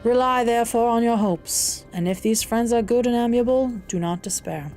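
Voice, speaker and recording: A woman's voice: a little high, normal speed, darkish tone, faint music behind, voice pushed up, natural voice. A woman voicing a kindly, courteous blind old man in an audiobook, with a Southern US accent and an earnest, reassuring, formal manner. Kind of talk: audiobook character voice.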